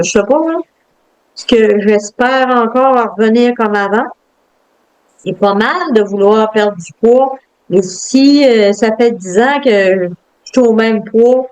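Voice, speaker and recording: A woman with a Quebec French accent, reflective and halting, with many fillers and restarts as she talks. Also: mid-range voice, darkish tone, poor audio. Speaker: guest. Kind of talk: casual interview talk.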